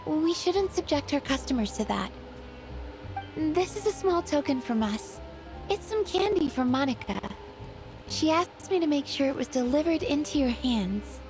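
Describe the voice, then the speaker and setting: Meek polite young waitress in a game, high voice, apologetic, faint music. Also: female voice, expressive, normal speed, poor audio. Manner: meek, courteous. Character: polite, apologetic young female restaurant worker. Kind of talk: anime video game voice acting.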